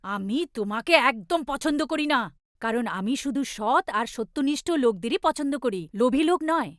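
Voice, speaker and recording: A woman's voice: high-pitched, lively intonation, normal speed, no room sound, studio quality. A woman voicing a righteous male water god in a children's fairy tale, benevolent but stern and authoritative. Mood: angry.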